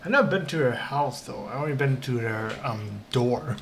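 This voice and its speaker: A woman's voice: a bass voice, moderate pace, slight background noise. A woman game streamer giving casual, offhand, rambling commentary as she plays. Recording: game music behind the voice.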